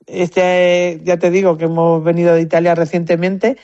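A woman's voice: on the low side, flat delivery, normal speed, mellow, dry recording, highs cut off. Chatty Spanish woman in a dry recording. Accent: Spanish from Spain. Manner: chatty, anecdotal, repeats words while thinking. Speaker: competitive shooter, interview guest. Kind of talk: casual conversational interview answers.

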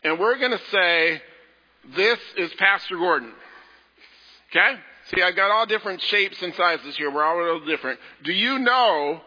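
A man leading a children's message in a church service, talking to the children in a gentle, playful way. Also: high voice, animated, normal speed, husky. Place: large church sanctuary. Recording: echoey hall, dull lo-fi sound.

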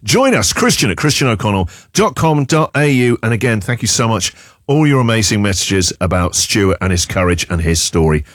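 A man's voice: on the low side, very animated, brisk, no room sound, clean studio sound. A man hosting a breakfast radio show, reading out listener puns and laughing often. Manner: playful punning, laughs often. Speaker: radio host. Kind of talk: jokey breakfast radio banter. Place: radio studio.

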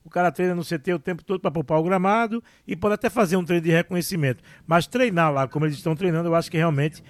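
A man co-hosting a sports talk show, joking and animated in casual banter. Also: fairly high pitch, racing, no echo. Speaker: sports radio co-host.

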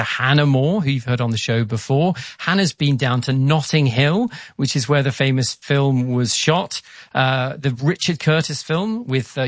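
Male host, mid-range voice, dry studio sound, relaxed intro. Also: mid-range voice, no echo. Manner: relaxed, with fillers.

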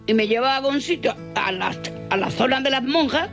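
A woman tells an anecdote in a casual, conversational way. Her voice is fairly high yet gravelly with creak, and soft music plays behind her. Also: lively intonation, a little fast. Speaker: interviewee.

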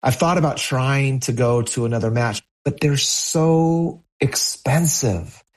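A man's voice: mid-range voice, takes it slowly. A middle-aged American man, a poet, talking reflectively as a guest in an interview. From United States, California.

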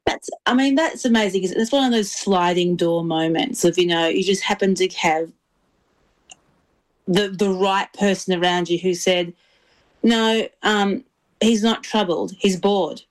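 A woman's voice: medium pitch, unhurried, rough recording. A woman hosting a community radio interview, friendly and often repeating words as she thinks. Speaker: radio show host.

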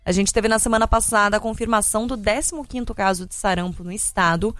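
A woman's voice: medium pitch, fast, a bit bright, dry recording, soft background music. A Brazilian woman presenting live radio news and traffic updates in a conversational, explanatory way. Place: radio studio.

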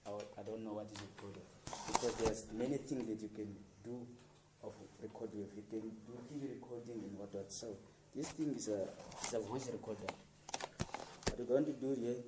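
A radio producer from Namibia talking informally to students, encouraging them with plain, repetitive sentences and restarts. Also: male voice, on the low side, fairly even intonation, brisk, roomy sound, distant mic, background noise.

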